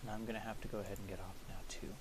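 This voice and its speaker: A man's voice: lowish voice, flat delivery. Man chatting casually on a hissy stream. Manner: informal, halting, thinking aloud. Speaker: streamer and podcast maker. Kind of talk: casual livestream chat while editing. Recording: hissy, noisy home stream recording.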